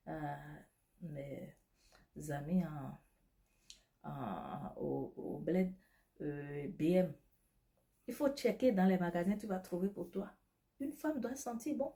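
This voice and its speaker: A woman's voice: mid-range voice, mellow. A woman whose first language is not French, giving emphatic, preachy beauty and self-care advice straight to her viewers. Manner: preachy, emphatic, addresses viewer as tu, repeats key points. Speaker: beauty advice vlogger selling skincare products. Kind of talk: direct-to-camera advice talk.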